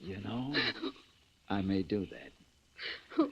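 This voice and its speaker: An actor playing a menacing killer stalker with a slow, taunting delivery in an old-time radio crime drama. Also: male voice, low voice, husky, heavily acted. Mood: coldly menacing. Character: menacing killer stalker extorting a frightened woman. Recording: muffled old radio recording.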